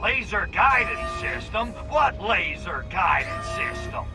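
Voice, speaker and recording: An actor playing a crude, cocky alien invader in a video game, brash and full of sarcastic wisecracks. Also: male voice, high-pitched, sing-song, takes it slowly, creaky, music in the background, heavily acted. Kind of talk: video game cutscene acting.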